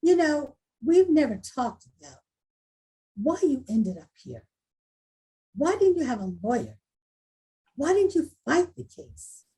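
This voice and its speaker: An actress playing a proud but softening school principal, probing and candid in a dramatic table read, with a husky voice. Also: female voice, high voice, sing-song, slow pace, pauses often, exaggerated voice.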